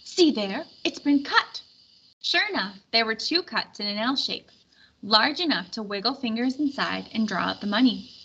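Bright-voiced woman reading a storybook, slightly muffled. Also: a little high, lively intonation, normal speed, creaky, husky. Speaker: read-aloud narrator. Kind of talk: storybook read-aloud, scripted narration. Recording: dull lo-fi recording with background noise.

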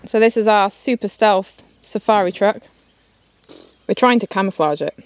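A woman's voice: mid-range voice, moderate pace, dry recording, highs cut off. A woman from England in her thirties giving casual vlog commentary with lightly wry narration. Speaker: sailing vlogger.

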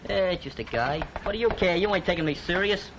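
An actor playing a scrappy, defiant young New York street kid with big dreams, talking tough and slangy in an old film. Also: male voice, high voice, brisk, a bit bright, dull audio, a little hiss or hum.

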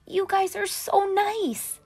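A woman voicing a sad, grateful little schoolboy from a picture book, in a plaintive, drawn-out manner. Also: extremely high pitch, little pitch movement, a little mellow, voice pushed up, heavily acted.

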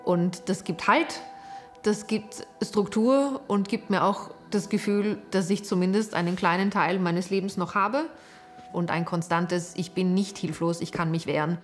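A woman's voice: lowish voice, fairly even intonation, measured pace. A young woman speaking thoughtfully in a documentary interview, sometimes searching for words. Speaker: interviewee, survivor. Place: living room with sofa. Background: soft music.

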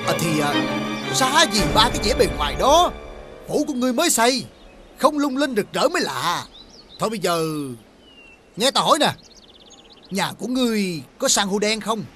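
An actor with a Southern Vietnamese accent playing a haughty, blustering marquis in a fairy-tale costume film, theatrical and halting. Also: male voice, high voice, very animated, fairly bright tone, faint music behind. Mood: haughty probing. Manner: theatrical, halting with drawn-out hesitations. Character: haughty, blustering male nobleman marquis, sore loser.

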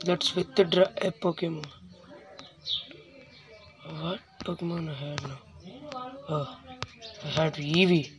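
Female let's play host, slightly low voice, unhurried and halting, casual commentary. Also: steady tone, noisy background.

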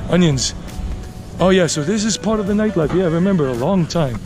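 Guy in his late 40s doing a walking vlog, kinda high voice, rambly, music playing behind him. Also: expressive, a little fast. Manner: chatty, rambling, thinking aloud. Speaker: travel vlogger and walking tour host. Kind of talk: casual walking commentary to viewers. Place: outdoors, walking a leafy urban residential street.

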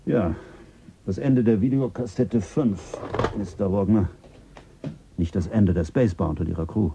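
A man as a dark-voiced mission director. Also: on the low side, a little slow, slight background noise. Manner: calm, dry, ironic. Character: weary male space mission director, family man. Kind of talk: radio play acting.

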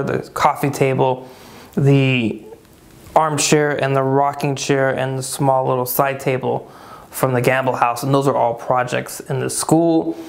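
Upbeat man with a gravelly voice. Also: mid-range voice. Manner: upbeat, chatty, talks with his hands. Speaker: woodworking channel host. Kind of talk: casual shop update vlog. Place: indoor furnished room.

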